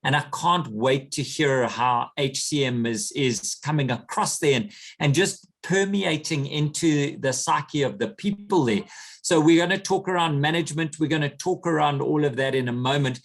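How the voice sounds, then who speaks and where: A man hosts a podcast and interviews his guests. He is effusive and enthusiastic, his voice sits fairly high for a man, and he talks in quick bursts. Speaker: podcast host.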